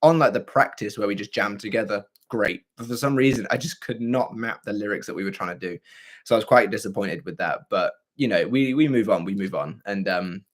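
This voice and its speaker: Young man, hoarse and scratchy, lowish voice, rambling livestream host, casual chat. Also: fairly quick. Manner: chatty and rambling, with frequent fillers and throat clearing. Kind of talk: casual livestream chat answering viewer comments.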